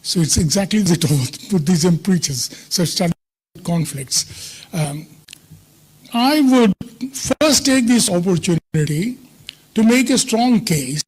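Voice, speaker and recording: A man's voice: high-pitched, expressive, slow, darkish tone, breathy edge, poor audio. An older Indian man with an Indian English accent giving an emphatic, self-assured conference speech with chatty asides, his voice slightly hindered by a tooth infection. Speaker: conference speaker. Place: conference hall.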